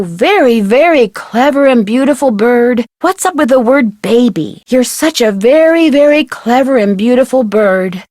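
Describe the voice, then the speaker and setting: A woman's voice: a little high, a little mellow, no room sound, clean studio sound. A woman voicing a young, friendly and persistent amateur detective in an adventure video game, speaking earnestly and politely. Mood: playful coaxing. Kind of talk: scripted adventure game dialogue.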